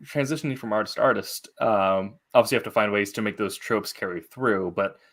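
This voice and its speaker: A man with a fairly low voice hosts a casual interview. He speaks in a halting, long-winded way, circling around his questions and adding commentary as he goes. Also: a bit bright. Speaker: interview host.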